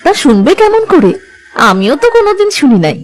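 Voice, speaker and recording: A woman's voice: sits high, very animated, brisk. A woman playing a dutiful, chatty young village daughter-in-law in homely cartoon dialogue.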